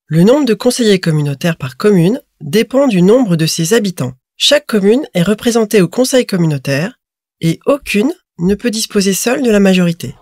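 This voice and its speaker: Woman narrator, scripted explainer read, clear and measured, mid-range voice. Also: medium pitch, darkish tone, no echo, clean studio sound.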